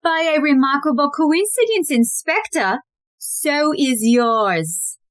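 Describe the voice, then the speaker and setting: A woman's voice: high-pitched, expressive, slow pace, fairly bright tone, no room sound, exaggerated voice. A woman playing a cold, menacing, commanding society wife turned murderer in an audio play. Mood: dry, composed.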